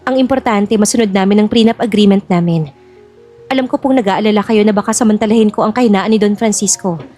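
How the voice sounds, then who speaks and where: A woman's voice: mid-range voice, fast, darkish tone, soft background music, lightly acted. A woman playing a polite, principled young bride-to-be in an audio drama, formal and composed. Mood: composed, firm.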